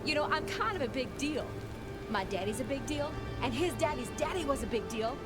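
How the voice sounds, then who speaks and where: A high-pitched Southern belle socialite, with an American Southern accent and folksy, theatrical phrasing. She sounds like an obsessively devoted devotee in a video game. Loud music plays underneath. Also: expressive, fairly quick. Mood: rapturous devotion. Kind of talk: dramatic video game voice acting.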